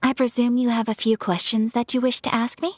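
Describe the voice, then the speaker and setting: A woman voicing a composed young noblewoman in a video game, speaking in a formal, measured and polite manner. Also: on the high side, level tone, close to an everyday voice. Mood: calm formal. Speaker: noble of a ceremonial commission.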